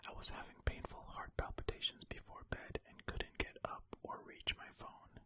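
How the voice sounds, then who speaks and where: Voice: normal speed, sharp tone. An ASMR host whispering ear to ear in a tentative, musing way, reading and commenting close to the microphone. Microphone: close stereo ASMR microphone.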